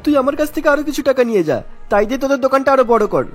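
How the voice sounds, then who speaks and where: A scheming cartoon big brother with a very high male voice. He gives bossy, insistent instructions at a fast pace, with faint music underneath. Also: animated. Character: scheming businessman elder brother, bossy and calculating. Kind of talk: Bengali cartoon voice acting.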